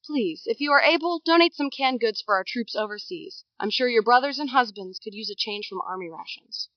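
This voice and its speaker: Community radio announcer, earnest, female actor fairly high woman's voice, dull close broadcast. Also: lively intonation, fairly quick, no echo, close to an everyday voice. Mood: encouraging. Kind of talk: audio drama local broadcast.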